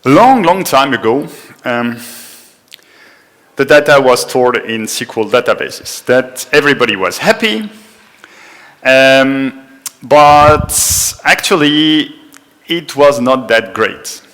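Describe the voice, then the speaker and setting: A man with a French accent presents a technical talk in a conference room. He speaks in a loose, chatty way, pokes fun at himself and fills his pauses with frequent ums and uhs. He sounds like a man in his forties. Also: mid-range voice, animated, a bit bright. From France. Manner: chatty, self-deprecating, with frequent fillers.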